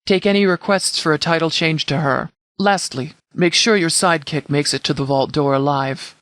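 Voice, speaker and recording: An actor playing a stern, composed sheriff of a post-apocalyptic militia in a video game, speaking in a measured, formal, authoritative way with clipped orders. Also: male voice, fairly high pitch, a bit bright, no echo, natural voice. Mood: stern, businesslike. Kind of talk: scripted video game dialogue.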